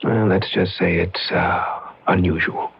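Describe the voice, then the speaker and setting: Skeptical married charmer, lowish husky voice, animated delivery, old radio drama, dull sound. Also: male voice, unhurried, heavily acted. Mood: uneasy. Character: skeptical, flirtatious married man, smooth-talking and uneasy.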